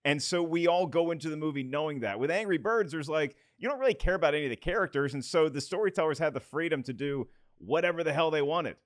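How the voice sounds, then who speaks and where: A man's voice: fairly high pitch, fast, hardly any pauses, no echo, clean studio sound. A man co-hosting a podcast, making his case in a casual debate about films. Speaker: podcast co-host.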